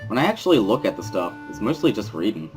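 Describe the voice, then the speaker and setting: A man's voice: lowish voice, sing-song, fast talker, music in the background. A man reading first-person scripted narration from a visual novel as a dramatic reading.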